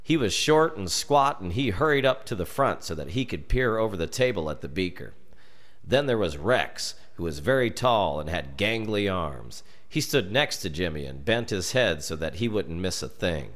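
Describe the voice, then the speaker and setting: An American man narrating a scripted children's horror story in a deliberate, dramatic storytelling manner. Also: deep voice, very animated, a bit bright.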